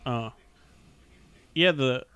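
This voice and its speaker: A man's voice: mid-range voice, drawn-out words, fairly bright tone, clear, resonant tone, lo-fi sound. A man with a Southern US accent chatting casually as a podcast host, telling things in a folksy, rambling way. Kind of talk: casual chat.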